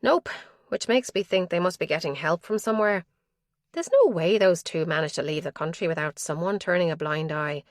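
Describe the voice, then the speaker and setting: A woman lifting her voice for a brisk, wry young policewoman. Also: lowish voice, expressive, dry recording, studio quality, natural voice.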